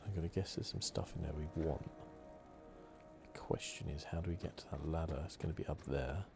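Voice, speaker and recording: A soft-spoken man giving ASMR gaming commentary, drifting into whispers. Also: rumbling low, steady tone, a little fast, gravelly, over music. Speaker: gaming channel host. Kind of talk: ASMR video game playthrough commentary.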